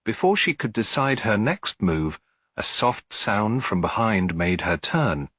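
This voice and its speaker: A man's voice: on the low side, sing-song, measured pace, a bit bright, no echo, clean studio sound. A man reading a scripted detective story as an audiobook narrator.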